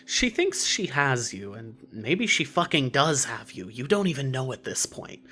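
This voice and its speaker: A man narrating scripted fiction in a measured, wry manner. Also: medium pitch, sing-song, bright, forceful tone.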